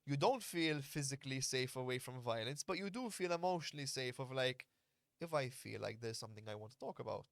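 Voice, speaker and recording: A man's voice: mid-range voice, lively intonation, a little fast, vocal fry, dry recording, studio quality. A man, a podcast host and fight coach, talking frankly and at length in casual conversation and swearing freely. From Malta. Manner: blunt, earnest, explains at length, swears freely.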